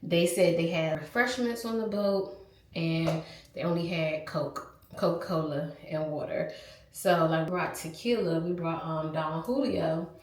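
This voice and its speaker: A woman's voice: deep voice, a little slow, a little mellow, echoey room. A young woman with a stuffed-up, nasal voice, chatting candidly to her phone camera in a travel vlog. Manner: candid, chatty, addresses viewers as y'all. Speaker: travel vlogger. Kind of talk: casual vlog chat to camera. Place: resort on vacation.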